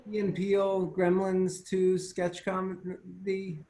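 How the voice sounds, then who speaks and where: A man's voice: high voice, monotone, takes it slowly, vocal fry, mellow. A man chatting casually in a group discussion over a video call.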